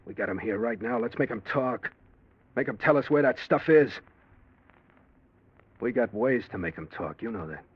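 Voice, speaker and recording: An actor playing a desperate, scheming gangster with tough, urgent talk in a 1940s radio crime drama. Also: male voice, mid-range voice, animated, moderate pace, pauses often, slightly rough, no echo. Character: desperate scheming male gangster, blackmail victim turned gunman. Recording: muffled old radio broadcast.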